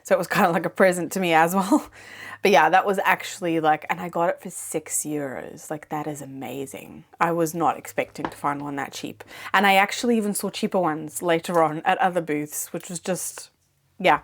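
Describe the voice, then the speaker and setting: Chatty woman with a lowish voice. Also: steady tone, moderate pace. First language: not English. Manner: chatty, rambling, with many fillers. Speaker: plant vlogger. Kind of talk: casual chat. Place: home, indoors.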